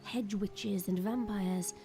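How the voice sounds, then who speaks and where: A woman's voice: mid-range voice, steady tone, slow, mellow. A woman reading scripted narration for an audio drama. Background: faint background music.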